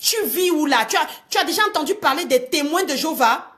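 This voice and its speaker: A woman coaching online viewers in an animated, exhortative live talk, repeating phrases and asking rhetorical questions for emphasis. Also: high-pitched, fast talker. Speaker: motivational coach hosting a livestream.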